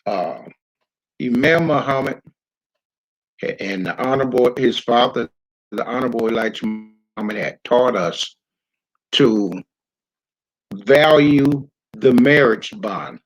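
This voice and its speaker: An older man giving an unscripted public address, reminiscing in a halting, deliberate way with frequent hesitations. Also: medium pitch, lively intonation, poor audio. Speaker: community speaker recounting his experience as a pioneer.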